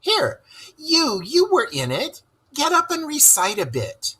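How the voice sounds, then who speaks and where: A man as an eager, high-pitched storybook mole. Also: huge pitch swings, takes it slowly, sharp tone, exaggerated voice. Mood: enthusiastic. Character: eager, excitable, warm-hearted male mole.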